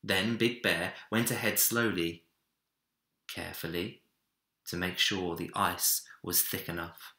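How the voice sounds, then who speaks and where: A young man narrating a children's storybook with dramatic, deliberate phrasing in a room indoors. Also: deep voice, fairly even intonation, pushed and bright. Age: young adult. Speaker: story reader. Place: small home room, indoors. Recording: roomy echo.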